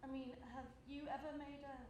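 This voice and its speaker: A young woman acting on stage as an anxious, apologetic worker who speaks in halting, tentative phrases. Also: high voice, monotone, darkish tone, close to an everyday voice. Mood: anxious, hesitant. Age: young adult. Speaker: actor in a stage play. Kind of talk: live stage acting, naturalistic dialogue. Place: indoor stage performance space. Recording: very echoey hall, background noise.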